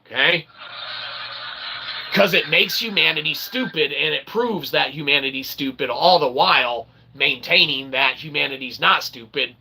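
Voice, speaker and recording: A man's voice: on the high side, vocal fry, pushed and bright, slightly rough, rough recording. A man hosting a rambling, tongue-in-cheek monologue to his viewers, promoting his own work and often checking in with "okay". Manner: tongue-in-cheek, self-promoting, repeatedly tags phrases with "okay". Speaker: independent philosopher channel host.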